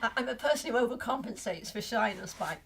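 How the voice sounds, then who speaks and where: Older woman, Liverpool accent, reflective and chatty, higher voice. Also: animated, fast talker, a bit bright, a little hiss or hum. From Liverpool, England. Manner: reflective, chatty, many restarts. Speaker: guest. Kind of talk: casual interview.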